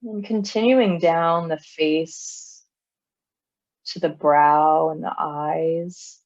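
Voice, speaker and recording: Slow, low-voiced woman guiding meditation. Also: muffled recording. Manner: calm, soothing, long pauses between phrases. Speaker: meditation teacher. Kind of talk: guided body scan meditation.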